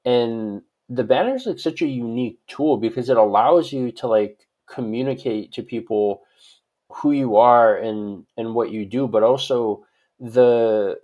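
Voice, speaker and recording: A man's voice: mid-range voice, unhurried, dull audio. A man from Hawaii answering conversationally as an expert guest in an interview, rambling and often adding "like" and "right?". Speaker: guest expert on social media profiles.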